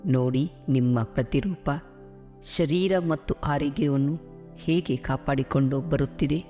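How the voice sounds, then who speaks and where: A man leads a guided meditation over background music. His voice sits at a medium pitch. He speaks soothingly and deliberately, leaving space between phrases. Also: mellow. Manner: slow, soothing, deliberate pauses. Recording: music behind the voice. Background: clear background music.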